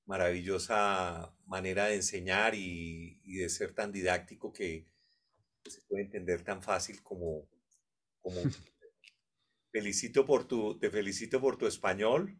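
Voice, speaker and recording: Man, Colombian accent, conference host, low voice, gracious. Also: fairly bright tone. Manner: courteous, effusive. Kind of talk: formal thanks and praise.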